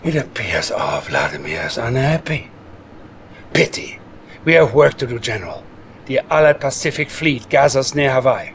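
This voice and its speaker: An actor playing a coldly calm, scheming Soviet psychic advisor in a video game cutscene, with a Russian accent and measured, condescending menace. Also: male voice, mid-range voice, slight background noise. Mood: cool, sly.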